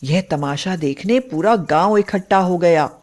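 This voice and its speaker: A woman narrating a scripted moral story in an expressive, deliberate storytelling manner. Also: on the low side.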